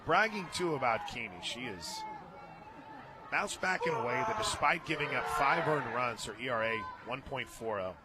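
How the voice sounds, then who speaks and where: An American male radio announcer calls softball live from an outdoor booth. His delivery is conversational, dropping into short, clipped play calls, with clearly audible stadium noise behind him. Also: mid-range voice, huge pitch swings, moderate pace, a loud crowd. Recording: very noisy outdoor ballpark booth.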